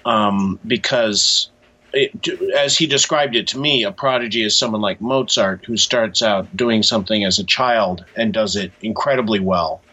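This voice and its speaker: An American man speaks as an interview guest, explaining things thoughtfully and haltingly. His voice is low and husky with a bright, forceful edge. The sound is a little dull, like a remote call. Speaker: cartoonist and author, interview guest. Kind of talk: conversational interview answers.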